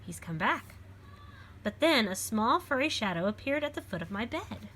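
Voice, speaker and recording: Woman storyteller, bright and animated, reading to young kids with simple explanatory asides. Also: on the high side, normal speed, a little hiss or hum, low-quality recording. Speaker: storyteller reading a picture book aloud. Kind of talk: expressive children's read-aloud with explanatory asides.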